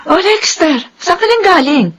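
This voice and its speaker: A woman's voice: very high-pitched, animated, moderate pace, over music. Bossy middle-aged Filipino mother. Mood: fussing, firm. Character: retiring, slightly bossy mother who runs the household. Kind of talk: Filipino radio drama acting.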